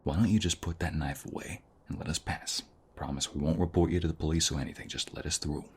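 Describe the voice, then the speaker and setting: A man playing a protective, flirtatious ex-mobster husband in an intimate ASMR roleplay, tender but menacing, with breathy murmurs and a teasing manner. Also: fairly even intonation, fast talker, exaggerated voice. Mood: protective, threatening. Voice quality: deep, gravelly, often breathy murmur. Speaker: voice actor.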